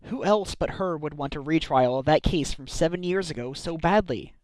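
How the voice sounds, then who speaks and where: A man voicing an earnest young male defense lawyer in a game fan dub, with a lively delivery. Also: a little high, normal speed, no room sound, close to an everyday voice, low-quality recording. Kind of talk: amateur game fandub acting.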